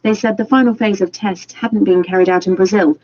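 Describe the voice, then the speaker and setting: A woman reading a scripted news bulletin in a measured, formal way. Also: medium pitch, fairly even intonation, mellow, slight background noise. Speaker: newsreader. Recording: dull lo-fi radio broadcast.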